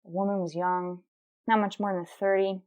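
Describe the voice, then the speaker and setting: A woman's voice: mid-range voice, normal speed, dull audio, lightly acted. A woman playing a determined, businesslike police detective sergeant, narrating a radio crime drama in a clipped, matter-of-fact way. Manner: terse, deadpan, procedural. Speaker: narrator and lead actor.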